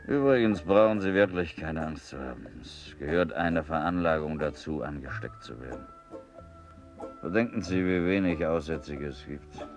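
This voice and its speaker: Deep-voiced, embittered sick sailor. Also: male voice, expressive, normal speed, faint music behind. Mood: wry, bitter. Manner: sardonic, brooding storyteller. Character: embittered sick sailor, a whisky-drinking leper, world-weary. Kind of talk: German radio play acting.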